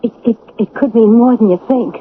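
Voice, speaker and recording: A woman's voice: on the high side, brisk, breathy edge. A woman acting in a radio drama as a gangster's nervous, scheming American sister, stammering and pleading. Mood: nervous, persuading. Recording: hissy tinny old radio recording.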